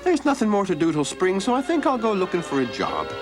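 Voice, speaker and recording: A man's voice: high voice, wildly swooping pitch, fast talker, music in the background, voice pushed up. A man voicing a cheerful young farmhand who turns hot-tempered, with a folksy way of talking. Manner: folksy storytelling speech.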